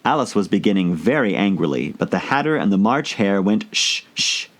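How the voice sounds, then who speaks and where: Expressive male storybook narrator. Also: on the low side, a little fast. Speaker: audiobook narrator.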